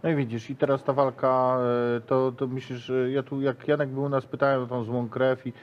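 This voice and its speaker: A man's voice: medium pitch, level tone, a little fast, highs cut off. A man hosting a casual sports interview, prompting his guest with chummy questions. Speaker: interview host.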